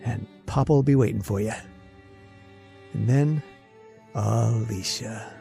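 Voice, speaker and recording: A man's voice: fairly low pitch, slow, gravelly, mellow, faint music behind. An actor playing a rattled man hunting his deadly sweetheart in old-time radio horror, muttering to himself and stammering. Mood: menacing, gleeful.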